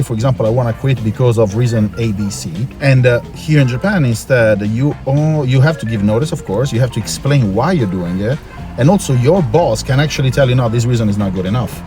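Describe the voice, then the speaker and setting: A man from Italy speaks as a guest in a casual interview, in a mid-pitched voice. He explains himself at length and repeats points for emphasis. Music plays clearly behind his talk. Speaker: interview guest, foreign worker in Japan. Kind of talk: casual street-interview chat. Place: in or by a car.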